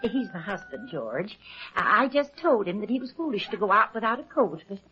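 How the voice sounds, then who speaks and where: An actress playing a chatty, naive farm wife with a rural American accent and a husky voice in an old radio drama. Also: female voice, medium pitch, sing-song, fairly quick, a little hiss or hum, close to an everyday voice. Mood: folksy, friendly. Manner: folksy, rambling, hesitant. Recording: muffled old radio recording.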